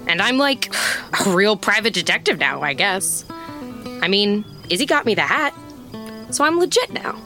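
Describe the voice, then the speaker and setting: Wry, animated female private eye over music. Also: medium pitch, moderate pace, pauses often. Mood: wry, bemused. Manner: conversational, wry, casually profane. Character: wry, rattled young female small-town private detective. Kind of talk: first-person audio drama narration. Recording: music under the voice.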